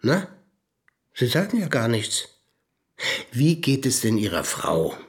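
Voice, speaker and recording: An actor playing a stingy, jovially pushy house buyer in a radio drama, chatty and mock-aggrieved. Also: male voice, medium pitch, sing-song, slow pace. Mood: jovial, wheedling.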